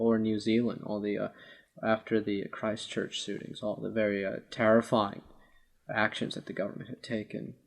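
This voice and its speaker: A man's voice: fairly low pitch, creaky. A man debating politics informally as a podcast co-host, speaking in a hesitant, wordy way with formal vocabulary.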